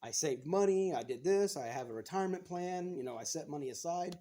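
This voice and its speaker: A man's voice: on the high side, animated, a little fast, warm tone. A man in his thirties, a guest on a podcast, talking frankly in casual chat and swearing freely as he retells things.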